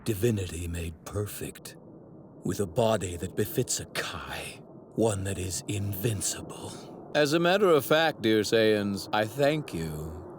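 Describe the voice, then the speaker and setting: Haughty zealot god villain from anime, formal and preachy, very animated pitch, faint noise in the clip. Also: male voice, measured pace. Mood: gloating. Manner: pompous, theatrical, formal diction. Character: arrogant male god villain, righteous zealot who despises mortals. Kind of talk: dubbed anime villain acting. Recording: sound effects bleeding behind voice.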